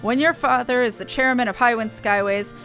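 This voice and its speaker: An earnest fantasy-game airship engineer, voiced by a woman, with a fairly high voice and wordy, old-fashioned turns of phrase. The lines are read as game dialogue over music. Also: a little fast, a bit bright. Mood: confident.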